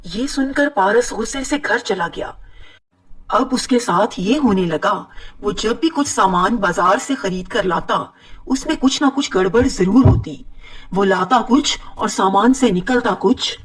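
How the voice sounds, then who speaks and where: A woman narrating an animated moral story in a steady, moralizing telling. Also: medium pitch, unhurried, distant mic. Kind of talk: scripted cartoon narration.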